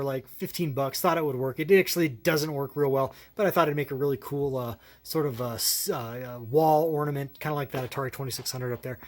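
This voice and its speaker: A middle-aged man hosting a toy collecting channel, rambling through a casual room tour with lots of filler and restarts, his voice going hoarse. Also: a little high. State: losing his voice.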